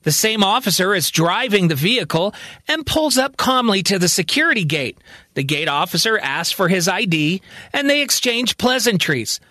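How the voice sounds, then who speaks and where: A man narrating a science-fiction story in a calm, conversational storytelling manner. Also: high-pitched, moderate pace, no room sound, studio quality. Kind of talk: spoken sci-fi story narration.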